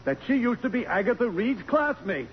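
An agitated blustery trustee, high voice, echoey old radio drama. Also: male voice, very animated, normal speed. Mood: indignant, exasperated. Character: fretful, blustering male college board chairman. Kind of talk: 1950s radio drama acting. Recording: muffled, noisy, reverberant old radio broadcast.

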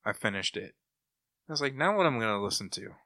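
A man with a somewhat low voice that still has a bright, forceful edge. He chats bluntly and slangily as a podcast co-host, and the recording is very dry, with no room around him. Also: very animated, fast talker, dry recording. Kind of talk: casual review chat about old-time radio.

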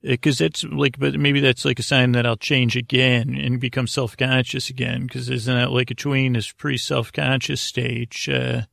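A man with a very creaky, gravelly voice talks through a meandering bedtime podcast monologue. He stammers, doubles back and leaves thoughts half finished. The sound is dry and close, with no room echo. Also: mid-range voice, little pitch movement, fairly bright tone. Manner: meandering, halting, stammering with repeated false starts. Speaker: podcast host.

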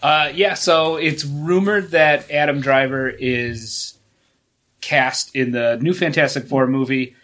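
A podcast guest chatting casually and making quick, playful quips about movies. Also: medium pitch, a bit bright.

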